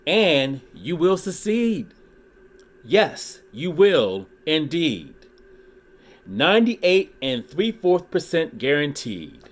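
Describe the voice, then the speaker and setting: A man reading a rhyming children's book aloud in an expressive, deliberate way, pausing dramatically for emphasis. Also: a little high. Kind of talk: expressive storybook read-aloud in rhyme.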